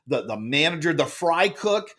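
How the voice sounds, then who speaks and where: A middle-aged man with a loud voice, an author and speaker telling a story as a podcast guest, animated and expressive. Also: normal speed. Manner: expressive, acts out quoted lines with big jumps in pitch.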